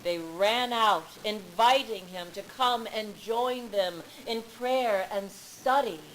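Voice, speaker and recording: A woman reading a story aloud to a live audience with deliberate, emphatic phrasing. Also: medium pitch, rough recording. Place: public library event room. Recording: live public event with background noise.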